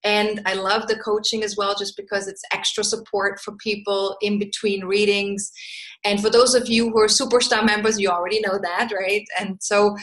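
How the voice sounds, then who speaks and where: A woman's voice: mid-range voice, fairly bright tone, low-quality recording. A woman in her forties from Austria, speaking, is an astrologer and coach. She teaches a live webinar in a chatty way and keeps checking in with "right?". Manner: chatty, asks "right?" often, reads out attendees' answers.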